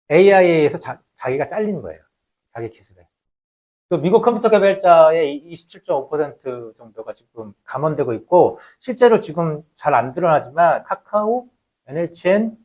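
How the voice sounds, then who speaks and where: A man delivers a lecture in a slightly high voice with highly animated intonation. He explains conversationally and emphatically, throwing out rhetorical questions and letting phrase endings sink away. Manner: conversational, poses rhetorical questions then answers them. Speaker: lecturer, labour researcher.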